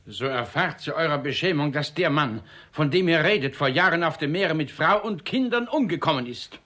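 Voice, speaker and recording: An actor playing a dignified, solemn middle-aged gentleman and father in a radio comedy, speaking in a measured, formal way. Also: on the high side, lively intonation. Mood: composed, stern. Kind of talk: classic stage comedy radio acting.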